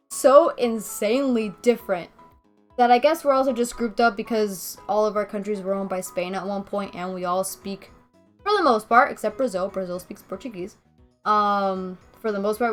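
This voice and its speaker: A woman from Venezuela livestreaming a game, chatting casually and rambling as she answers viewers. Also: mid-range voice. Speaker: game streamer. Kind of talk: casual livestream chat while playing. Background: music.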